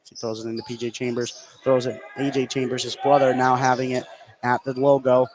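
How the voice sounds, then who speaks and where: A man doing live play-by-play basketball commentary for radio, getting excitable on big plays. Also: medium pitch, brisk. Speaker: play-by-play sports announcer. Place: indoor school gym. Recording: clearly noisy live gym broadcast. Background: gym ambience and noise.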